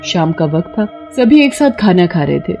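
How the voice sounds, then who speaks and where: A woman's voice: on the low side, very animated, normal speed, vocal fry, darkish tone, dull audio, faint music behind. A woman reading scripted cartoon story narration as the narrator.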